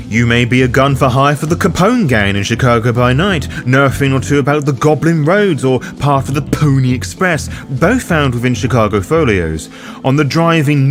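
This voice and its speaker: A man hosting a roleplaying game guide, reading scripted narration and addressing the listener directly. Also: mid-range voice. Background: background music.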